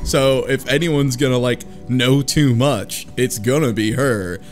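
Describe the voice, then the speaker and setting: A man's voice: medium pitch, a little slow. A man co-hosting a casual chat, slangy and joking, with easy swearing. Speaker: podcast co-host. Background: faint music.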